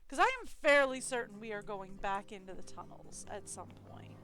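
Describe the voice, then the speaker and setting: A woman's voice: mid-range voice, normal speed, bright, forceful tone, soft background music. A woman streaming a game live, commenting in a chatty, sarcastic, self-mocking way.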